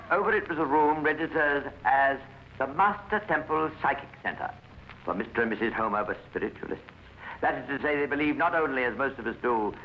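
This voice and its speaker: A British man narrating a scripted 1950s radio crime story in a formal, precise storytelling manner. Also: medium pitch. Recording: muffled, noisy old radio recording.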